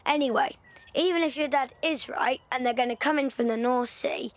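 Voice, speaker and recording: A woman's voice: sits high, moderate pace, natural voice. An actress playing a thoughtful young girl evacuated from London, telling her story in a reflective way. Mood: wistful. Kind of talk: audio drama acting.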